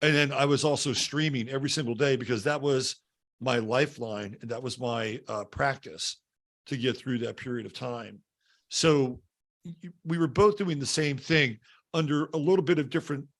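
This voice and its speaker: Mid-pitched man telling stories conversationally. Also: moderate pace, fairly bright tone. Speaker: podcast host. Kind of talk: conversational personal storytelling.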